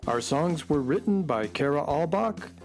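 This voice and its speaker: A man's voice: fairly high pitch, lively intonation, a little slow, faint music behind. A man reading a scripted closing announcement as announcer for a children's radio show. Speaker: credits announcer.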